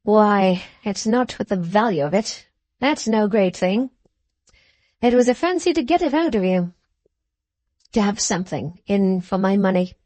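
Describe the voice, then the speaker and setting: Woman reading as a composed, unhurried male trooper. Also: medium pitch, lively intonation, a little mellow, no echo. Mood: composed, wry.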